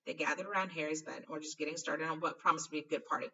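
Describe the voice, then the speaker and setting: A woman with a low, bright voice narrates a children's novel expressively. She now and then trips on a word and fixes it, and the recording sounds somewhat distant. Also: very fast, muffled recording. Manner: expressive, stumbles over words and corrects herself. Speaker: storybook reader. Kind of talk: reading a children's novel aloud.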